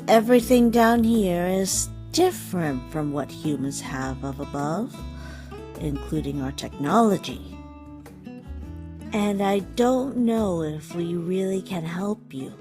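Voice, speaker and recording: A gentle motherly monster guardian from a comic dub, played by a woman. She speaks very slowly and earnestly, tender but resolute, with music running behind her. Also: lowish voice, lively intonation. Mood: worried, tender. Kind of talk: dramatic comic dub acting. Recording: voice over background music.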